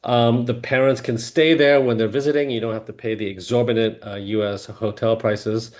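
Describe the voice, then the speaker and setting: A man's voice: mid-range voice, level tone, normal speed, a little mellow. A middle-aged man hosting a video-call webinar, talking casually with many fillers and restarts. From Hong Kong family, grew up in Singapore. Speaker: webinar host, mortgage lender.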